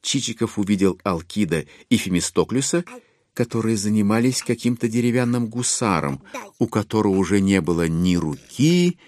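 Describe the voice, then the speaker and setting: A man narrating a literary audio drama in an expressive storytelling manner. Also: on the low side, normal speed, lightly acted.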